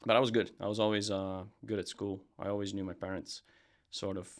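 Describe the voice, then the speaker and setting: A young man from Serbia, a podcast guest and brand founder, chatting casually in a relaxed, rambling way with lots of fillers and restarts. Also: fairly low pitch, a little fast. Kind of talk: casual interview chat.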